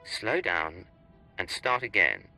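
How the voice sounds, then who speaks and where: An actor playing a cool, confident secret agent in a video game, controlled and terse. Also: male voice, deep voice, big pitch swings, slow pace, creaky, pushed and bright, faint music behind, exaggerated voice, low-quality recording. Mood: cool, assured.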